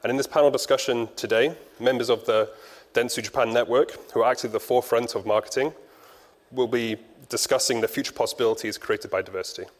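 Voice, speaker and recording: Fast-talking male panel moderator, reverberant hall. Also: medium pitch, fairly even intonation.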